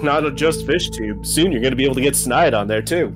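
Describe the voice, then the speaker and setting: A man's voice: mid-range voice, expressive, brisk. A man playing a tabletop game, joking casually with friends in slangy, sweary talk. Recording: music playing behind the voice.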